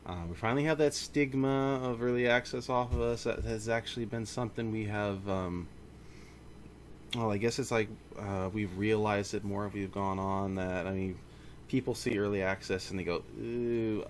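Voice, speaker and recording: A man's voice: fairly low pitch, normal speed, slight background noise. A man giving a casual, rambling studio update as a game developer, often correcting himself mid-sentence. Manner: informal, rambling, self-correcting. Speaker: indie game studio founder. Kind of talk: casual monthly studio update to camera or mic.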